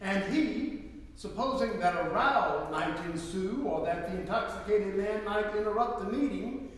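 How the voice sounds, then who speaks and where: A man's voice: high-pitched, unhurried. A man narrating a historical account as an emphatic, declamatory dramatic reading. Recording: very echoey hall, far from mic.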